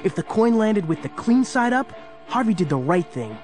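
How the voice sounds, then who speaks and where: A man's voice: high voice, sing-song, normal speed, darkish tone, soft background music. A man narrating a scripted story in a dramatic storytelling style.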